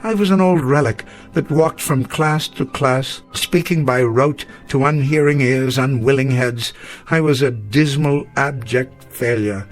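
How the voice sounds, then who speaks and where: Weary old male professor, acted by a man, radio drama, formal literary speech, wistful, medium pitch, soft music bed. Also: unhurried, lightly acted. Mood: despairing regret. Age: older.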